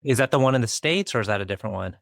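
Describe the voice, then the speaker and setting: A man hosting a business podcast, asking relaxed conversational questions and nudging the guest along. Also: medium pitch, very fast, gravelly, dry recording, clean studio sound. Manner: relaxed, prompting with frequent backchannels. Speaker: podcast host, interviewer.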